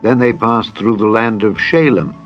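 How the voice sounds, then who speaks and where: A man narrating a dramatized scripture reading in a solemn, measured, formal manner. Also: fairly low pitch, dull audio. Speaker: Bible narrator. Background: background music.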